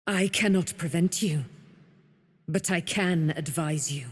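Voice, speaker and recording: Captive immortal warrior woman, fantasy game, bitter n proud, talks real slow, big echoing reverb. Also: lowish voice, slightly rough. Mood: bitter, stern. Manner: grave, archaic, theatrical. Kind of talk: dramatic fantasy game acting. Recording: long cavernous reverb.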